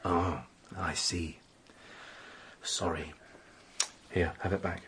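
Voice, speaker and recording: A man's voice: low voice, flat delivery, takes it slowly, very noisy. A man playing an earnest, protective young man in a radio drama, reassuring and coaxing but uneasy.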